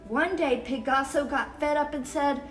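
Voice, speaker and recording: A woman reading a picture book aloud to children as a storyteller, expressive and exclamatory, with dramatic emphasis on key words. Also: high-pitched, moderate pace, recorded from a distance, soft background music. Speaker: children's storyteller and event host. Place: University museum gallery.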